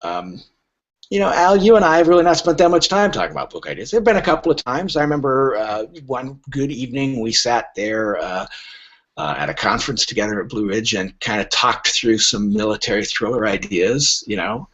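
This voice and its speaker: Male podcast guest, literary agent type: lively swooping intonation, emphatic explanations, halting starts, muffled low-fidelity recording. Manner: emphatic, halting starts with repeated words, rhetorical questions.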